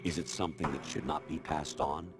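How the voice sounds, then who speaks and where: An extremely low male voice speaks as a cold, inhuman intelligence in a game cutscene. The delivery is measured and authoritative, like a lecture, with loud music underneath. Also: steady tone. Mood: cold, lecturing. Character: formless male artificial intelligence posing as colonel, coldly lecturing. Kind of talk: video game cutscene acting.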